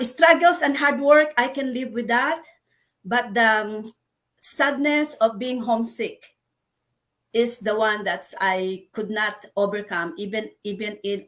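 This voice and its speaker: A woman born in the Philippines hosts a casual online chat over a video call. Her voice sits a little high, and she talks earnestly, pausing often with fillers as she gathers her words. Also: fairly bright tone. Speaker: host.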